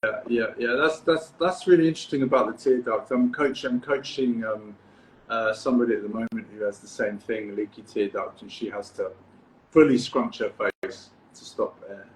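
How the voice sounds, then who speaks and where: A man's voice: medium pitch, moderate pace, slight background noise. British man hosting, echoey room. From United Kingdom. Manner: relaxed, encouraging, repeats words when starting. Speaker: freediving coach and podcast host. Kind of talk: casual podcast interview.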